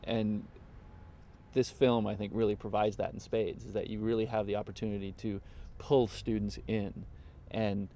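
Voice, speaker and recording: Low, gravelly-edged man, thoughtful professor. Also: flat delivery, normal speed, dark tone. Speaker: professor reviewing a film. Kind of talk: conversational interview, reflective review.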